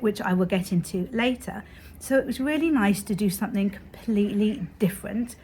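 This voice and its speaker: A young woman vlogging casually about her writing year, her voice a little croaky from illness, chatty and full of fillers. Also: medium pitch. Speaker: author vlogger. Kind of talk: casual vlog talk to camera.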